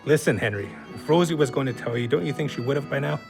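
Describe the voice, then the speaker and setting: A man's voice: medium pitch, expressive, fast, vocal fry, music in the background. An actor in an audio drama playing a bossy, goading, foul-mouthed man who needles his friends with sarcasm. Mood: scornful, needling. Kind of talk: gritty contemporary audio drama dialogue.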